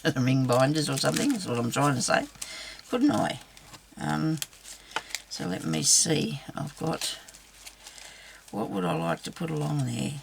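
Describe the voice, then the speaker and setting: Raspy low-voiced woman crafting. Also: slight background noise. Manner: rambling, self-chuckling, talks to viewers. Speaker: craft vlogger. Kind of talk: chatty crafting demo, thinking aloud.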